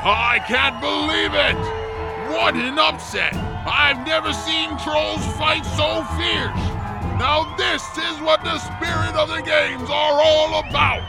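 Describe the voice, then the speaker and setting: A man's voice: very high voice, fairly even intonation, vocal fry, a loud crowd, full character voice. An actor playing a bombastic arena announcer who relishes bloody monster fights, drawing out words like a showman in an audio drama. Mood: excited hype. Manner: shouted, theatrical, drawn-out showman delivery. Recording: loud music under the voice.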